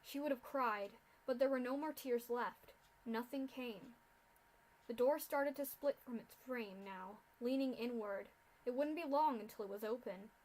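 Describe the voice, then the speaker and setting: A woman reading a dark fan fiction story aloud in steady narration. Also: on the high side, a little slow, poor audio.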